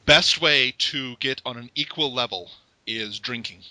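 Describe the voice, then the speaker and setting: A man hosting a casual interview, chatty and quick to laugh as he tells anecdotes. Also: mid-range voice, sharp tone, no echo, low-quality recording. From from the West, living in Japan. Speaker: podcast host.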